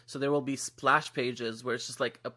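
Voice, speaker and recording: A man's voice: medium pitch, fairly even intonation, moderate pace, sharp tone. A man from Syria speaking as an interview guest, telling personal things in an earnest, open, conversational way. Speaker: interview guest, comic book writer.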